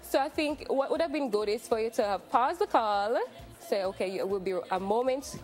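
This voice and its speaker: A woman's voice: fairly high pitch, soft background music. Jamaican woman giving service advice. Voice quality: measured, advising. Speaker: customer service guest. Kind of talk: studio advice talk.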